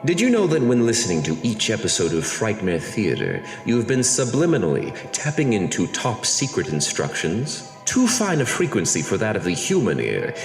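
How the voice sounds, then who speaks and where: A man playing a sinister, grandiose horror show announcer in melodramatic mock-horror radio style. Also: sits low. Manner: melodramatic, drawn-out. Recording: echoing reverb, music underneath.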